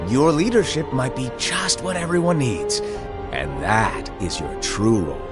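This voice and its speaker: An actor playing an eccentric, wise mentor who is absent-minded yet solemn, speaking deliberately and theatrically in a game cutscene. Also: male voice, medium pitch, expressive, natural voice. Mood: solemn, encouraging. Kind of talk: cinematic game cutscene acting. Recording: music behind the voice.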